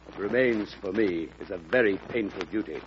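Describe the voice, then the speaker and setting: A man's voice: deep voice, normal speed, close to an everyday voice. A man acting a stern, commanding officer of the law in an old-time radio drama, authoritative and declamatory. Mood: grave, solemn. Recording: dull, noisy vintage radio recording.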